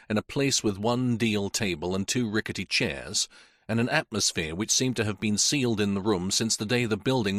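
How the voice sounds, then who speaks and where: A man reading a mystery novel aloud as audiobook narrator, in an expressive storytelling manner. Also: lowish voice, no room sound, clean studio sound.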